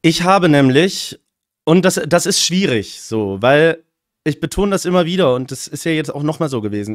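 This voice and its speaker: A German-speaking man reacts to a rap battle on stream, voicing strong opinions in quick bursts. His voice sits fairly high, and the sound is dry with no room echo. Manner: opinionated, talks in fast bursts. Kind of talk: casual commentary.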